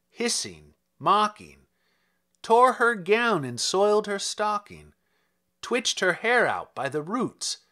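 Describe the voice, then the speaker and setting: A man reading a narrative poem aloud in a theatrical, expressive, measured way. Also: sits high. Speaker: reader of a poem. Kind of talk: dramatic narrative poetry reading.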